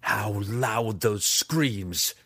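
A man's voice: fairly low pitch, very animated, measured pace, a bit bright, husky, dry recording, voice pushed up, exaggerated voice. A man voicing a growling, taunting drow weapons master. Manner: growling, derisive.

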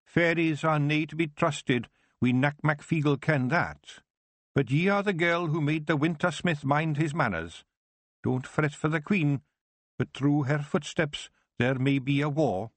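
A blunt, gruff Scottish clan chief, small and fierce, as a man puts him on, pitched high and pushed loud. He talks in broad, hard dialect. The sound is close and dry. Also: a little slow, dry recording, lightly acted. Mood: stern.

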